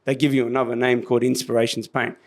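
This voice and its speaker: An Australian man with a somewhat squeaky voice, a podcast guest telling stories in a casual interview. He acts out what other people said and often restarts his sentences. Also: flat delivery, quick pace, a little mellow. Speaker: podcast guest, business founder.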